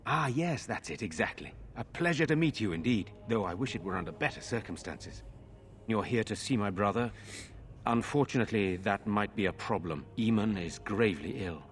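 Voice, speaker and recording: An actor playing a worried, earnest nobleman in a fantasy video game, speaking formally and gravely. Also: on the low side, animated, moderate pace, a little hiss or hum, natural voice. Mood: courteous. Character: worried, earnest nobleman defending a besieged village.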